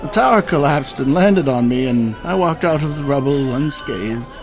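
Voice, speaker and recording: A man acts out an honorable old immortal warrior in a fantasy audio drama. His delivery swings widely in pitch, with formal, stately phrasing and weary regret, and music plays behind him. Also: animated, normal speed. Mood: melancholy.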